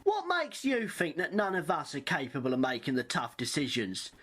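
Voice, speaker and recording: A woman plays a defiant, touchy woman in a radio play. Her voice is on the low side, with lively swings of indignation and a combative edge. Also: normal speed, husky, exaggerated voice. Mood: challenging. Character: defiant, huffy woman quick to take offence. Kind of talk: amateur radio play acting.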